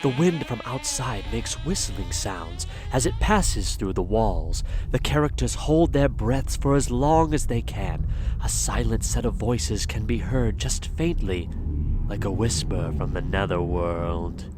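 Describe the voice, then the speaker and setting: A man's voice: lowish voice, very animated, unhurried. A man giving dramatic scripted narration as the narrator of a horror audio drama. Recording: music under the voice.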